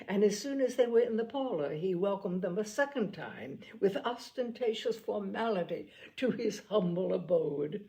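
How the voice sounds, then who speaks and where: A woman reading a classic novel aloud in a measured storytelling manner, now and then correcting a slipped word. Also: mid-range voice, mellow, low-quality recording. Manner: measured storytelling, occasional slips and self-corrections. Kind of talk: audiobook narration.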